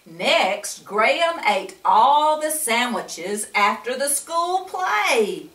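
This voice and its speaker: An older woman reads a picture book aloud to children in a grandmotherly way, pausing for chatty asides and coaxing questions. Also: on the high side, sing-song, a bit bright. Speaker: storyteller reading to children. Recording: echoey room, distant mic.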